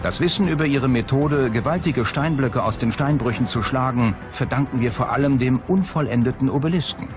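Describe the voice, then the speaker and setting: A man reading scripted documentary narration in a measured, factual manner. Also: mid-range voice. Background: background music.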